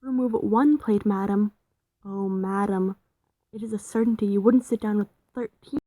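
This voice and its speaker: A woman's voice: medium pitch, normal speed, mellow, no echo, lightly acted, low-quality recording. A woman playing a polite, dutiful household maid in a radio play, servile and deferential.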